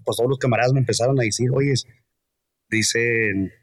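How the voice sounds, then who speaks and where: Man from California, guest musician, hesitant, halting, soft-spoken, mid pitch, dry mic. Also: steady tone, no room sound. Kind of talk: casual interview.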